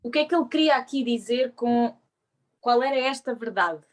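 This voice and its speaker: Woman, student, hesitant, searching for words, distant, a little high. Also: moderate pace. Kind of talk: asking a question in class.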